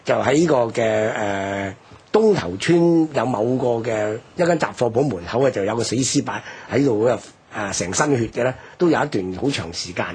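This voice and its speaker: A man's voice: medium pitch, animated, normal speed, a little mellow, slightly rough. An older man with a Hong Kong accent, recounting history in an emphatic, explanatory way on a radio talk.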